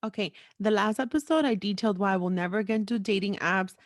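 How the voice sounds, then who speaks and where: A woman hosts a podcast in an easy conversational style. She is frank and teasing, with a playful streak, and her mid-pitched voice sits in a dry recording with very little room sound. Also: fast, no echo. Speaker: podcast host. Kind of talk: casual chat.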